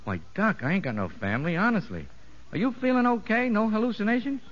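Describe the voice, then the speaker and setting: An actor playing a boastful, streetwise convict safecracker in a 1950s radio drama, talking in ungrammatical slang dressed up with pompous fancy words. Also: male voice, high voice, expressive, fast talker. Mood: sly, warm. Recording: dull vintage 1950s radio recording.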